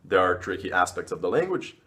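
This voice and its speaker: Upbeat man, lowish voice. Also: sing-song, brisk, sharp tone. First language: Portuguese. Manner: upbeat and encouraging, pitch jumps up on key words. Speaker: language vlogger. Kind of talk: casual advice talk to camera.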